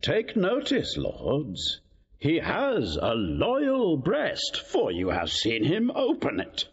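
A man's voice: a little high, huge pitch swings, slow pace, lo-fi sound, full character voice. An actor playing an imperious, sardonic king in a classical Shakespearean audio drama, declaiming with weight. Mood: menacing irony. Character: imperious king, pointedly ironic with his courtiers.

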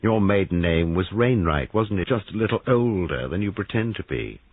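A man voicing a brisk, self-satisfied young man posing as a policeman in an audiobook. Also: expressive, slightly rough, no echo, heavily acted. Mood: accusing. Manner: brisk, confident, lifting pitch. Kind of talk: audiobook character voice. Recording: narrow phone-like sound, dry.

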